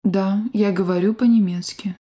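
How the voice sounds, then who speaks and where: A woman with a soft, mellow voice reads a language-course drill. She speaks slowly and articulates every word with care, like a studio lesson recording. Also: mid-range voice, steady tone. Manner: slow, clear, carefully articulated.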